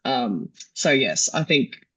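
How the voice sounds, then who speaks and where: A man with an Australian accent answering audience questions at a medical conference, measured, with lots of fillers. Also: mid-range voice, very animated, fairly bright tone, slightly rough. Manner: measured, hedging, many fillers.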